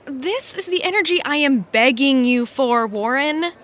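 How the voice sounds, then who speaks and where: A brisk, bossy assistant on a television production. Her voice is a little high and has a bright, forceful edge. She teases in a punchy, no-nonsense way, as in modern audio drama. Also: expressive. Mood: teasing, brisk. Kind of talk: naturalistic audio drama acting.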